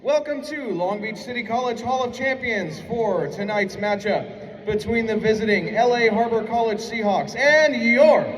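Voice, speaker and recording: Man, PA sports announcer, high projected voice, showman delivery, very echoey gym, distant mic. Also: moderate pace. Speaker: public address announcer. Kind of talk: formal arena announcing of a basketball game. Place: indoor college basketball gym.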